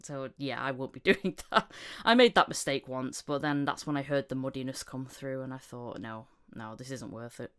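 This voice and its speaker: A woman's voice: extremely low pitch, moderate pace, hardly any pauses, fairly bright tone, clean tone. A woman podcast host and voice actor chatting casually, telling things in an anecdotal way. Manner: anecdotal, acting out reported conversations.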